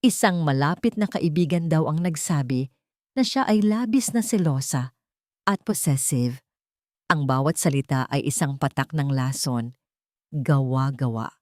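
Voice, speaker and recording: A woman narrates a dramatic story from a script. Her voice is a little lower than most women's. The sound is dry, with very little room in it. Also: unhurried, a little mellow, dry recording.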